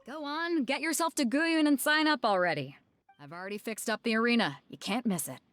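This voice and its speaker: A woman's voice: fairly high pitch, brisk, fairly bright tone, no echo, lightly acted. A woman playing a bold, hearty female ship captain in a video game, breezy and persuasive. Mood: breezy, urging. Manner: breezy, confident. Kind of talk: video game character dialogue. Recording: faint music behind the voice.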